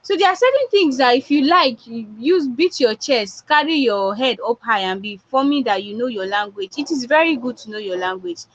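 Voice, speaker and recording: A woman's voice: a little high, animated, normal speed, hardly any pauses. A woman with a Nigerian accent, a public speaking coach giving a motivational online talk and repeating her points for emphasis. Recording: dull lo-fi online audio.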